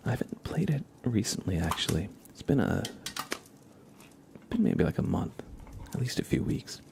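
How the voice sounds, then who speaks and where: A man hosting a casual ASMR livestream, chatting in a soft, breathy, hushed whisper with an unhurried, rambling delivery. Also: on the low side, big pitch swings, noisy background.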